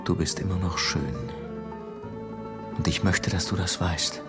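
An actor playing a boastful, seductive and fanatical Soviet security chief in a radio drama, theatrical in manner. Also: male voice, level tone, a little slow, loud music behind the voice. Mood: seductive, menacing. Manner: theatrical, swinging from booming to hushed.

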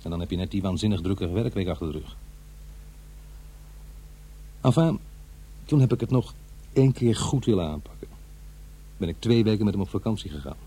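A low-voiced, uneasy middle-aged father. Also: lively intonation, mellow, husky, rough recording. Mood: agitated, rueful. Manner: halting, hesitant, self-interrupting. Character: divorced middle-aged father, uneasy and defensive office man. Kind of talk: radio drama monologue and dialogue, conversational confiding delivery. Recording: faint noise and hum.

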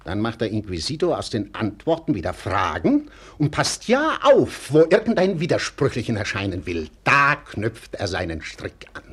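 An actor playing a cynical, sharp-tongued clerk in a mocking, theatrical manner, in the style of 1940s German radio drama. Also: male voice, medium pitch, huge pitch swings, moderate pace, hardly any pauses, husky, full character voice. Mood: sly, lecturing. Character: cynical, sharp-tongued male clerk stirring up timid townsfolk.

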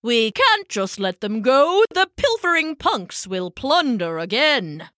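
A bumbling old gentleman penguin from a children's storybook, acted out by a woman. He talks with pompous, earnest alliteration and trips over his own ideas. The delivery is bright and animated. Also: on the high side, slow, no room sound, clean studio sound, heavily acted. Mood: pompous outrage.

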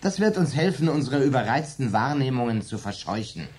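Actor as wry, animated, fatalistic man. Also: medium pitch, brisk, natural voice. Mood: urgent, uneasy. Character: wry, stoical fatalist man steadying his friends. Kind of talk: German radio drama acting.

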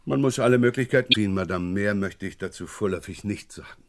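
Actor as a brisk, commanding police inspector. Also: male voice, lowish voice, loud music behind the voice, natural voice. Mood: polite, probing. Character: male French police chief inspector, probing and commanding. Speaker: actor in a radio crime play. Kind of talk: German crime radio drama acting.

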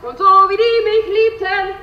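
A woman's voice: extremely high pitch, little pitch movement. An actress playing a woman who scrubs a floor while singing a bawdy ballad in a radio play. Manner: sings a bawdy ballad slowly. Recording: distant echoey hall, dull sound.

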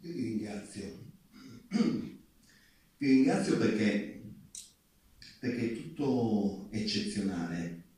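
A man with a low, mellow voice speaks very slowly from a theatre stage, distant and heavily echoing. He delivers a deliberate campaign speech, building it on repeated phrases and pauses. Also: sing-song. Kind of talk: live public speech from a stage. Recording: very echoey theatre, distant mic.

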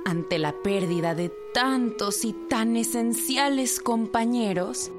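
A woman narrating a historical radio drama as a scripted storyteller, measured and solemn. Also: a little high, music in the background.

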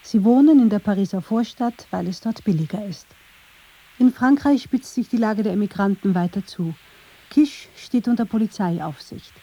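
A woman's voice: medium pitch, normal speed, dark tone, slightly rough, poor audio. A woman reading scripted narration for a radio documentary.

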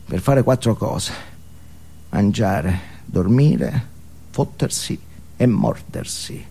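A man's voice: fairly high pitch, breathy edge, exaggerated voice. An actor playing an unhinged, foul-mouthed, alienated man in an intense, volatile comic stage monologue. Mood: menacing, building. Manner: intense, volatile, emphatic, swinging from muttering to yelling.

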